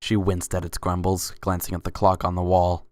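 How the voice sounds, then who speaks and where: Male narrator for scripted fiction reading: deep register, little pitch movement. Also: monotone, moderate pace, darkish tone, no echo.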